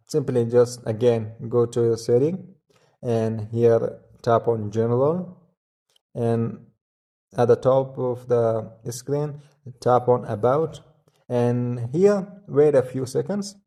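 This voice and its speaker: A man with a soft-edged, mellow voice at a medium pitch walks through instructions as a tutorial host. His delivery is step by step and a little halting, with frequent small hesitations. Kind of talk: step-by-step tech tutorial narration.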